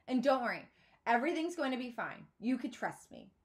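A woman voicing an excitable, hot-tempered young girl in a storybook read-aloud, squealing and emphatic. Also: fairly high pitch, brisk, voice pushed up. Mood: thrilled, excited.

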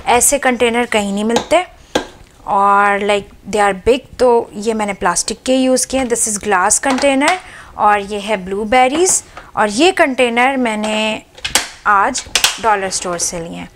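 A woman's voice: mid-range voice, takes it slowly, noisy background. A woman hosting a home cooking vlog, chatting casually as she shows how she organizes her fridge, slipping English words into her speech. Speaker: cooking channel host. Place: home kitchen.

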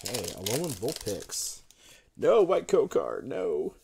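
Slow man reading off card names. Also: mid-range voice, vocal fry, a little hiss or hum. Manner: lists card names in a running murmur, brief excited outbursts. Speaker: card-opening video host. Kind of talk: casual unboxing commentary. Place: home room with a desk. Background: crinkling card wrappers.

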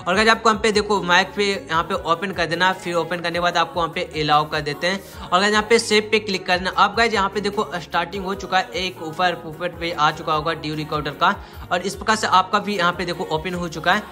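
A man's voice: high voice, fairly quick, pushed and bright. A young man narrating a step-by-step tutorial, addressing viewers directly and repeating himself. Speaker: tech tutorial YouTuber.

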